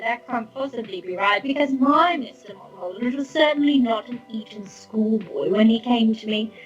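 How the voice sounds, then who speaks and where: A woman's voice: on the high side, dull audio, pitched above the performer's own voice. A woman voicing a sweet, fussy older landlady with a cooing, genteel manner and an eerily cozy air. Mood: cozy, coaxing. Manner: cooing, genteel, drawn-out exclamations.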